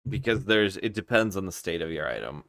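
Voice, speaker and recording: A man from Kazakhstan gives casual gear advice on a live game stream. His voice sits low, and the sound is dry, with very little room in it. He thinks aloud, often repeating a word before carrying on. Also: lively intonation, fairly quick, creaky, a bit bright, dry recording.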